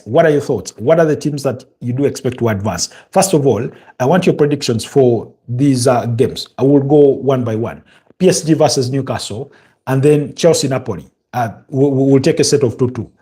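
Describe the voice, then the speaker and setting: A man with a Ugandan accent hosting a live football stream, chatting in a halting way and answering viewer comments. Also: medium pitch, dark tone. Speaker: football show host.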